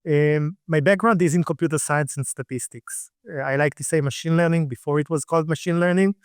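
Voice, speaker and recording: A man in his thirties from Israel with an Israeli accent, a startup founder talking casually in an interview, relaxed with many fillers. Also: a little high, fairly quick, clear, resonant tone, dry recording, studio quality. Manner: relaxed, fillers and restarts, laughs lightly. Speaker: guest, startup CEO.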